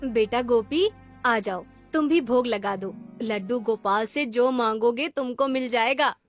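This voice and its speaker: A woman's voice: fairly high pitch, unhurried, faint music behind, natural voice. A woman voicing a caring, devout village mother in a cartoon story, coaxing and affectionate. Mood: gentle, caring.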